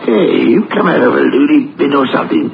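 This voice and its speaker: An actor playing a gruff, suspicious old working-class cemetery caretaker in a vintage radio drama, blustering and colloquial. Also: male voice, on the high side, lively intonation, fairly quick. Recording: dull, noisy old radio recording.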